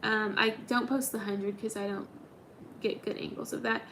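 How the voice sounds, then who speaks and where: A woman's voice: medium pitch, flat delivery, moderate pace, fairly bright tone, slight background noise. A woman in her twenties hosting a casual livestream, chatting and answering viewer comments with lots of ums. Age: 20s. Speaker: livestream host.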